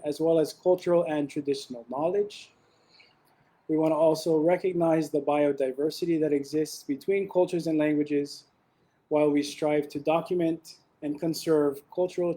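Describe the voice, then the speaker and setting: Relaxed man giving a webinar talk. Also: on the high side, poor audio. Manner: relaxed, with frequent ums and restarts. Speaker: webinar presenter.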